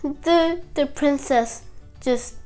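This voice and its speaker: Female child storyteller, high voice, unhurried pace, halting simple phrasing, bedtime tale. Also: darkish tone, soft background music. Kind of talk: animated retelling of a nursery fairy tale.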